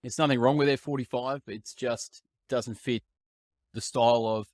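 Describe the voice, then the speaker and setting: Upbeat podcast host. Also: male voice, medium pitch, fairly even intonation, moderate pace, a little mellow, no room sound, clean studio sound. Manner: upbeat, asks rambling questions. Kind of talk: interview hosting.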